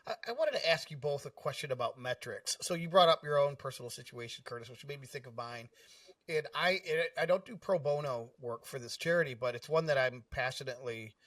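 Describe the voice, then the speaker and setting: A man talks casually as a podcast host, telling personal stories and posing questions. His voice is average in pitch. The sound is dry, with him sitting a bit back from the microphone. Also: expressive, fairly quick, a bit bright, dry recording, far from the mic.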